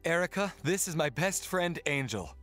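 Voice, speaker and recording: Man playing a kind, gentle young merman prince. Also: on the high side, expressive, normal speed, soft background music. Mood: kind, patient. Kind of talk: audio drama acting.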